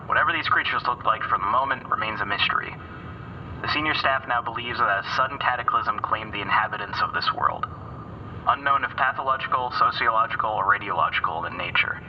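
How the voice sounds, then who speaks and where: An actor playing a decisive, authoritative military commander in a sci-fi audio drama. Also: male voice, lowish voice, far from the mic, dull audio, slight background noise, lightly acted.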